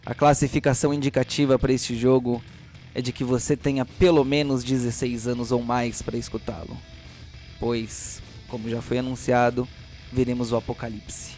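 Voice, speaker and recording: A man's voice: mid-range voice, darkish tone. A man narrating and hosting an audio role-playing drama as game master.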